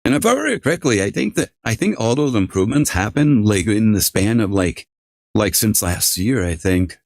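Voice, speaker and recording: A man livestreaming casual reactions, talking in a halting, repetitive way with some swearing. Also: lowish voice, animated.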